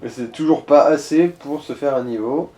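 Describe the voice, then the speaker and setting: A man's voice: medium pitch, normal speed, warm tone, roomy sound. A man giving casual, sweary gaming commentary as he talks a co-player through directions. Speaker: co-host of a gaming let's play.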